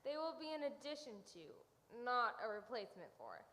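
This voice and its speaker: A woman's voice: fairly high pitch, very animated, brisk, bright, forceful tone. A woman playing an earnest, correcting vegan advocate in a comedic radio play. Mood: earnest, disdainful. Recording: echoey hall.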